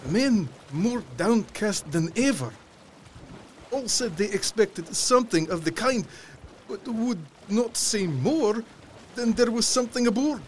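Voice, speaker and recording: Slow, high-voiced anxious sea captain, played by a man. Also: lively intonation, a little hiss or hum. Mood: uneasy. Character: anxious male sea captain keeping a ship's log. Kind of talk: dramatised log reading, clipped telegraphic phrasing.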